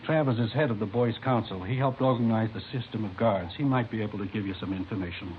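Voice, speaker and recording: An actor playing a worried high school principal with an American accent, earnest and hesitant, in 1950s radio drama. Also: male voice, quick pace, natural voice. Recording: dull, hissy old radio recording.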